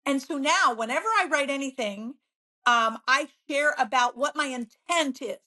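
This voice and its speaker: A woman real estate agent as an interview guest, bubbly and upbeat, telling stories with lively enthusiasm. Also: sits high. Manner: bubbly, upbeat, quick to laugh. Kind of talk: conversational interview.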